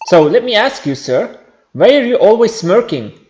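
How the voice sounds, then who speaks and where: A man's voice: sits high, sing-song, moderate pace, highs cut off, background noise, pitched above the performer's own voice. A man voicing a brash, nosy young creature at school in a video game, eager and pushy. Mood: brash, curious.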